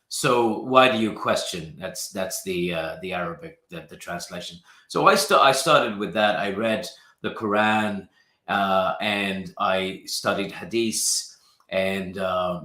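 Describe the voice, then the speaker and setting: A man's voice: on the low side, sharp tone, echoey room. A young man from Pakistan with a well-traveled British accent, chatting as a guest in an interview, hesitant with many restarts. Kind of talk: casual interview chat.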